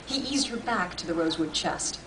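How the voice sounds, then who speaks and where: Lady reading a story out loud, pitch moves around a lot, bit far from the mic. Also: animated, fairly quick. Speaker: story reader. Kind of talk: expressive scripted narration. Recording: distant mic, faint room noise.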